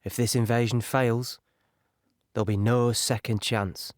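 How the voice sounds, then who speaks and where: An actor playing an earnest, naive young British soldier, plain-spoken and a little hesitant, in an audio drama monologue. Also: male voice, medium pitch, flat delivery, measured pace, no room sound, studio quality, natural voice. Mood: grave. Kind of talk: dramatic monologue recounting wartime memories.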